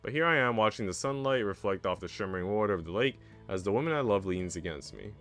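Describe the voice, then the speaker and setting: A man's voice: fairly low pitch, lively intonation, quick pace, a bit bright, soft background music. A young man streaming a visual novel read-aloud, narrating with casual, slangy reactions.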